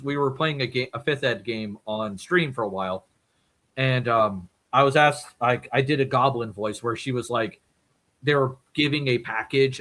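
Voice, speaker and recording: A man's voice: mid-range voice, a bit bright. A man who works as a voice actor, chatting casually as a podcast guest and laughing often. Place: home streaming setup.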